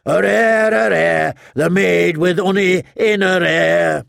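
A man voicing a boisterous court fool who belts out a ballad in a loud sing-song, in an audiobook. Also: high voice, steady tone, takes it slowly, creaky, no echo, clean studio sound, voice pushed up, heavily acted.

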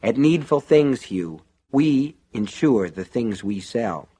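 A man's voice: animated, takes it slowly, warm tone, breathy edge. Guy doing a creepy soothing devil shopkeeper for an audiobook, coaxing and persuasive, can go harsh. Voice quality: low, soothing, turning harsh. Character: sinister soothing male shopkeeper, a devilish tempter.

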